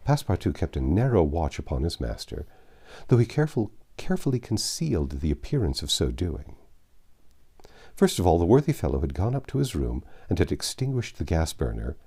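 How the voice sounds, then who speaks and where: Deep, expressive male storybook narrator. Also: slightly rough. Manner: measured, dramatic pauses. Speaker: audiobook narrator.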